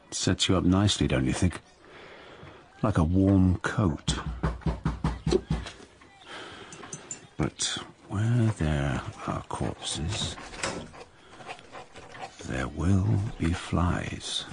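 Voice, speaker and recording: A wry man narrating his own journal in a radio drama. The voice is very deep and gravelly, with big swings in pitch. He muses aloud, cynical and dramatic. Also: noisy background, exaggerated voice. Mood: sardonic. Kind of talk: dramatic first-person narration.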